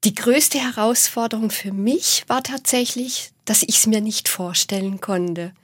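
A woman in her forties with a Swabian accent, a guest in a radio interview, explaining things calmly and in measured steps. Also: a little high, husky, no echo. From southwest Germany. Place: radio studio.

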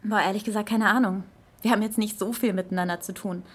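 An actress playing a polite, slightly awkward young flatmate, speaking hesitantly and noncommittally in a naturalistic radio drama. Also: female voice, mid-range voice, fast, husky, close to an everyday voice.

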